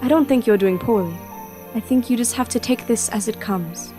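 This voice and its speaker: A woman acting as a young, reserved and melancholy princess in an audio drama, with a measured, formal manner. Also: on the high side, over music, natural voice. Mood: solemn, reassuring.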